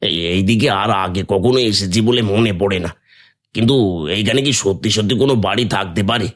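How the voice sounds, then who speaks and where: A man voicing Nimai, a poor and kind village man in a Bengali cartoon, who talks earnestly and thinks out loud. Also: fairly low pitch, fast talker, natural voice. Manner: earnest, thoughtful, speaking his thoughts aloud. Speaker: voice actor.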